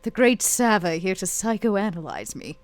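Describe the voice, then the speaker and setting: A woman roleplaying a proud, guarded, bitter vampire woman in a tabletop game, speaking curtly and deliberately with intense pauses. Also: medium pitch, sing-song, natural voice. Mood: bitter, defensive.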